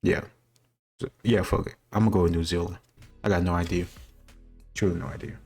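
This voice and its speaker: Deep, monotone man thinking aloud. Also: a little fast, husky, faint music behind. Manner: thinks aloud, candid about not knowing. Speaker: quiz-taker sharing his own commentary. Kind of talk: casual rambling commentary.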